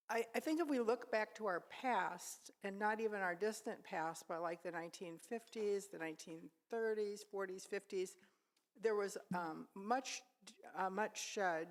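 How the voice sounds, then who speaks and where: An older woman speaking up thoughtfully in a group discussion. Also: medium pitch. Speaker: church class participant.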